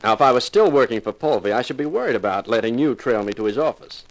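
A hard-boiled male private eye, played by an actor in a 1950s radio play. He is flippant and flirtatious, with a sardonic edge and lively swings in pitch over a medium-pitched voice. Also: fast, lightly acted, low-quality recording. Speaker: actor in a detective radio drama. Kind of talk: 1950s radio drama acting.

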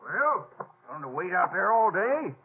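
An actor playing a gruff, bossy veteran stagecoach station keeper with folksy rural speech in a 1950s radio drama. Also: male voice, sits high, sing-song, measured pace, full character voice. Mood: nagging. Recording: noisy, tinny vintage radio recording.

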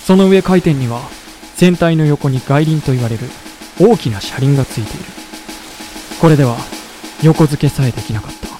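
A man acting a loyal deputy leader who narrates a historical war audio drama in a deliberate storytelling delivery. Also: a little high, music in the background, lightly acted. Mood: tense narration. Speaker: narrator and character.

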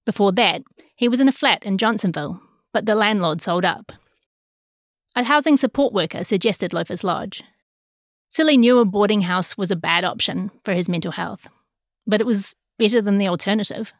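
A woman's voice: medium pitch, normal speed, no room sound, clean studio sound. A woman with a New Zealand accent reading a scripted news feature as a journalist. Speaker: journalist narrating a feature story. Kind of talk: scripted narration.

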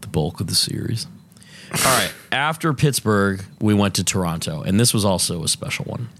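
A man's voice: fairly low pitch, expressive, normal speed, a bit bright, a little hiss or hum. A man co-hosting a podcast, chatting casually and telling loose, profane stories full of fillers. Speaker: podcast co-host. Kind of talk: casual chat.

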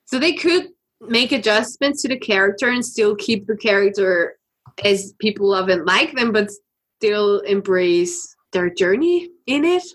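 A woman from Austria with as her chats her way through news stories as a podcast co-host. She talks loosely, thinks out loud and laughs often, at a middle pitch. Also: lively intonation, a little slow. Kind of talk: casual news chat.

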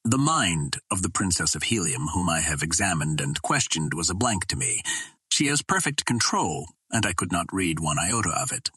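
A man voicing a grave Martian royal psychologist, speaking formally and deliberately with deference to his ruler. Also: low-pitched, big pitch swings, pushed and bright, husky, no echo, pitched below the performer's own voice, exaggerated voice. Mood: grave, assured.

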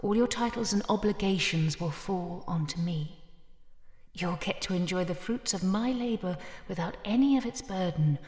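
A woman's voice: on the low side, normal speed, a little mellow, lightly acted. A woman playing a cold, calculating noblewoman in a fantasy audio drama, haughty and controlled. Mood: calm, calculating. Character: cold, calculating noblewoman, scheming younger-adult sister. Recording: echoey hall with long reverb.

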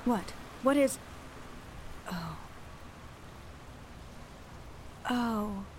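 An actress playing a shrewd, insistent soothsayer of Puritan times in an audio drama, articulate and wryly needling. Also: female voice, medium pitch, expressive, extremely slow, slight background noise, poor audio. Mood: awed. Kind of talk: period audio drama acting.

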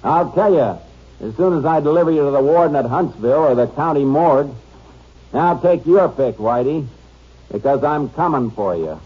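An actor playing a tough, steady Texas Ranger in a 1950s radio western, speaking tersely and sternly. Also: male voice, a little high, normal speed, a little hiss or hum, natural voice. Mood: firm challenge. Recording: dull old radio recording, faint music.

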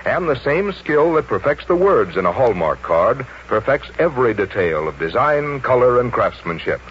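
A man's voice: medium pitch, sing-song, moderate pace. An American man working as a radio announcer, reading a script in a polished, sincere 1940s style. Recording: dull, noisy 1940s radio recording.

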